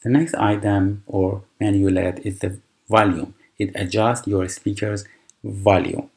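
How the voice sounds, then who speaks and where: A man with a very deep voice, a native Persian speaker, talks slowly through a computer tutorial. He explains each step patiently, pausing on fillers and restarting his phrases. Mouse clicks sound now and then. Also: fairly even intonation, darkish tone. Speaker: tutorial presenter. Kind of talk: screen-recorded software tutorial.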